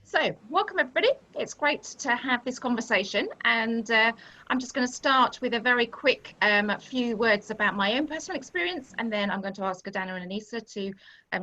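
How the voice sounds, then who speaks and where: A woman hosting a conversation, sharing her own experience reflectively and gently guiding her guests. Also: fairly high pitch, expressive, brisk, low-quality recording. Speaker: host. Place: church recording.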